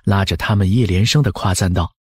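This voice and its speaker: A man narrating an audiobook as expressive scripted storytelling, with a husky voice. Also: deep voice, brisk, no echo, studio quality.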